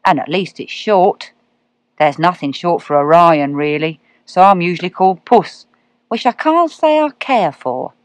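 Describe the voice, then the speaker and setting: A woman's voice: lowish voice, expressive, no room sound. A woman doing Orion, a drawling kindly cat. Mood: gentle. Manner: drawling, gentle and unhurried. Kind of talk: storybook reading.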